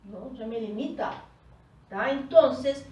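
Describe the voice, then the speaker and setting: A woman's voice: on the high side, a little mellow, a little hiss or hum. A woman coach, a native Portuguese speaker, teaching a group in Spanish and often checking in with her listeners. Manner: explains slowly, often checking in with "¿tá?". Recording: echoey room, far from the mic.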